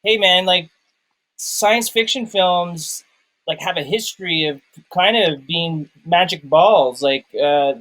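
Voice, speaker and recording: A man's voice: sits high, takes it slowly, fairly bright tone, poor audio. A man co-hosting a casual film discussion, rambling and searching for his words as he goes. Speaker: film review co-host.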